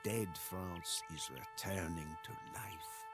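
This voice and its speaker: An actor narrating as a weary, devoted medieval French soldier, solemn and storyteller-like, in a scripted game cutscene. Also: male voice, low voice, slow. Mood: solemn, reflective. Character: weary, devoted French soldier chronicling Joan of Arc's war. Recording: music behind the voice.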